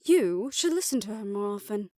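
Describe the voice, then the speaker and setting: A woman playing a stern, protective female doctor who is softly fond of her listener, speaking in a measured, formal, faintly haughty way in an audio roleplay. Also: mid-range voice, lively intonation, studio quality, natural voice. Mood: softened, teasing. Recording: roomy echo.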